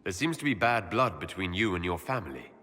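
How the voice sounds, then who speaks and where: An actor playing a calm, protective fantasy leader in a video game, with a husky voice and a plain, direct way of speaking. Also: male voice, deep voice, a little fast, exaggerated voice. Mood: frank. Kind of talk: dramatic video game acting. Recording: long hall reverb.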